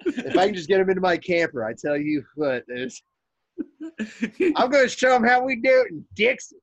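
A man's voice: sits high, lively intonation, normal speed, no room sound, muffled recording. A man in casual podcast chat, joking crudely, calling in from a camper trailer. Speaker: podcast guest.

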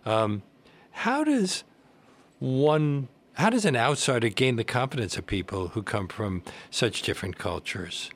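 A man's voice: medium pitch, sing-song, measured pace. A man hosting an interview, asking probing questions with some hesitant fillers.

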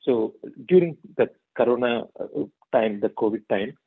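A man's voice: medium pitch, animated, normal speed, breathy edge. A man calling in for advice, explaining his case and asking questions. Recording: muffled narrow phone line.

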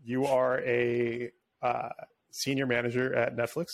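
Male interviewer, gravelly fry, average pitch, informal podcast conversation. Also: little pitch movement, unhurried. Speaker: podcast panelist acting as interviewer. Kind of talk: casual interview.

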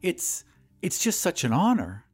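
Audio story role: coarse, formidable woman official, played by a man, earnest and naive, animated pitch, music beneath. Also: unhurried, husky, exaggerated voice. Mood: humbled awe. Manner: plain-spoken, naive, earnest. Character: coarse, formidable female government hostess, simple and earnest.

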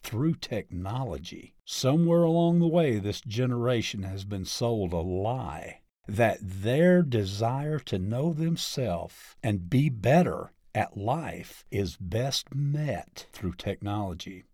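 A man reading a ministry article aloud, deliberate and evenly paced, with lively shifts in emphasis. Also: lowish voice, no echo, clean studio sound. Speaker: article reader.

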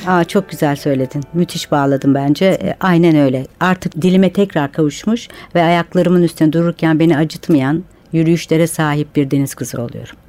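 A woman's voice: sits low, a little fast, few pauses, mellow, soft background music. A woman, a guest author on a radio interview, answering with enthusiastic agreement. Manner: enthusiastic, affirming.

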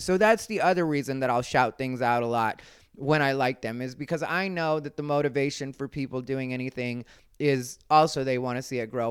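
A man's voice: mid-range voice, clean tone, no echo, clean studio sound. An American man from Arizona talking in a casual, rambling and conversational vlog style, with emphatic moments. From Arizona, United States. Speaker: comedian and show host. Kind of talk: casual solo vlog chat.

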